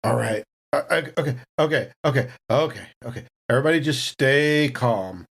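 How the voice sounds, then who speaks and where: A man voicing a nervous rifleman trying to keep calm in a role-playing game, halting and repeating his words. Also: medium pitch, creaky.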